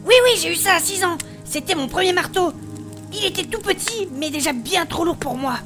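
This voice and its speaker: A woman playing a spirited female blacksmith adventurer, recounting her past in animated, colloquial in a fantasy audio drama. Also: very high-pitched, fairly quick, soft background music. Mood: fond, proud. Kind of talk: French fantasy audio fiction acting.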